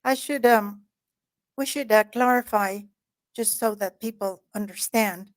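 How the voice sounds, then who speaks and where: A woman's voice: fairly high pitch, measured pace. A woman hosting a talk show who introduces her guest in an effusive, heartfelt way. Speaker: host.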